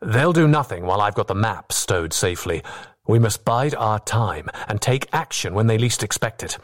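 Gentleman doctor played by a male narrator, composed, shrewd, measured formal delivery, storybook adventure. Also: on the low side, lively intonation, close to an everyday voice. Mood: calm, calculating. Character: calm, shrewd gentleman doctor planning defence.

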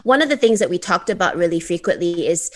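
A woman from Malaysia, a counselor answering interview questions in a thoughtful, explanatory way, often pausing on "um" and "you know". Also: on the low side, expressive. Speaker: counselor guest.

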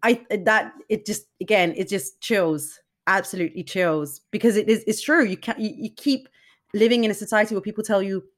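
A woman hosting an interview, animated and emphatic, with frequent restarts and laughs. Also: medium pitch, brisk. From Denmark. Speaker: podcast host. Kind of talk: conversational interview.

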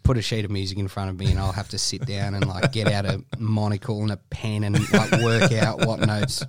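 Laid-back guy, lowish voice, chatty podcast guest, dry recording. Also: brisk, no room sound. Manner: relaxed, laughs often, frequent fillers. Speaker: guest musician. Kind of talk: casual podcast chat.

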